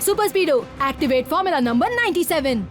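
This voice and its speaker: A woman's voice: high-pitched, lively intonation, rapid-fire, over loud music. A woman voicing a brave, bossy young boy superhero in a children's cartoon, calling out commands emphatically. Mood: determined.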